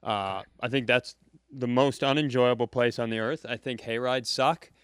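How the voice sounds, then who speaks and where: A man telling a comic rant at a live comedy club, acting out people in high shouty voices. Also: steady tone, normal speed, smooth, no echo, studio quality. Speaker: podcast co-host. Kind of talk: comedic storytelling.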